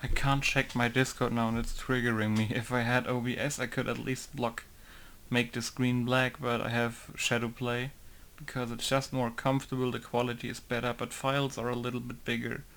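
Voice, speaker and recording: Gravelly young man chatting casually. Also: medium pitch, normal speed. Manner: relaxed, chatty, thinking aloud while testing. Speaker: game modder. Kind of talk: casual screen-recorded commentary.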